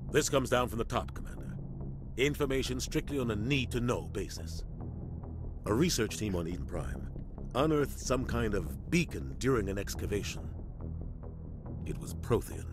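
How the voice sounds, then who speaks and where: An actor playing a gruff male ship captain who gives authoritative briefings. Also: medium pitch, very animated, normal speed, long pauses, lightly acted. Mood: serious. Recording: game audio under music.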